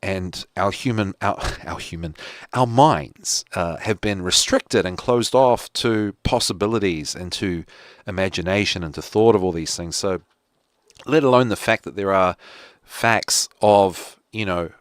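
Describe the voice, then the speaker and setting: A man's voice: low voice, measured pace, no room sound, clean studio sound. A man with a New Zealand accent hosting a casual solo talk show, rambling with lots of fillers and restarts. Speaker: podcast host.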